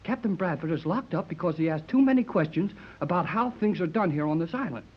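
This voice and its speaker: Excitable American boy, husky, dull recording. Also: high voice, fairly quick. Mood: earnest, urgent. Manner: slangy, earnest, excitable. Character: eager, brash American teenage boy. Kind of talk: 1930s radio serial acting. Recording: muffled, worn 1930s radio recording.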